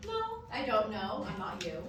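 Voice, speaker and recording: A woman playing a patient, probing therapist in staged reading dialogue, with a measured, questioning manner. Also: mid-range voice, wildly swooping pitch. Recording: echoey room from far off, background noise.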